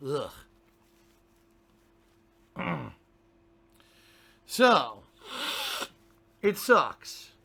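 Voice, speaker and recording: Hoarse, gravelly man, sick with a cold. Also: fairly high pitch, big pitch swings, drawn-out words, long pauses, pushed and bright. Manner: grumbling, ranting, keeps clearing his throat. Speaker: game streamer. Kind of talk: casual pre-stream chat to viewers.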